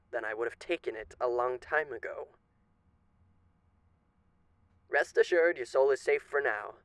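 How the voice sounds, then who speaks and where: A courteous, menacing male demon of the radio for an ASMR roleplay. His mid-pitched voice has a creaky, gravelly edge. He draws out his phrases like a genteel showman, teasing and faintly threatening, in a very dry, intimate space. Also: fairly bright tone, no room sound. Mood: smooth, persuasive. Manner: genteel, mock-polite, teasing, drawn-out theatrical phrasing. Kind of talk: theatrical ASMR roleplay monologue.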